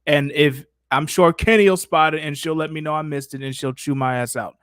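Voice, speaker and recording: A man hosts a casual live Q&A with a slightly high-pitched voice. His talk is playful, informal and full of slang, and the sound is dry with very little room in it. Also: steady tone, fairly quick, a bit bright, smooth, dry recording. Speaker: live stream host. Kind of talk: casual improvised banter and chat Q&A.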